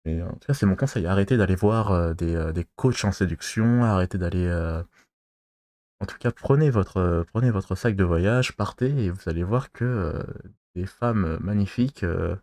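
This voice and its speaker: A man from France giving advice in a casual monologue to his viewers, halting and rambling, pausing constantly and losing his thread. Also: sits low, darkish tone, no room sound. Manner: loses his thread mid-talk. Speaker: vlogger giving dating advice.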